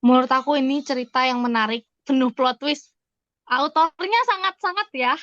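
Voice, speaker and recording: Indonesian woman, high voice, casual podcast guest, slightly lo-fi, no room echo. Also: moderate pace, a bit bright. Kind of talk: casual chat. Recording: slightly dull, dry close sound.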